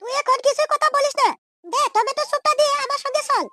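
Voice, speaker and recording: Casting: reassuring, chatty young cartoon nurse, piercingly high and bright squeaky delivery. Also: female voice, quick pace, no room sound, studio quality, soft background music. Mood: confiding, worried. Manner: fussy, reassuring, insistent. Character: caring, chatty young female hospital nurse. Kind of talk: comedy cartoon dub.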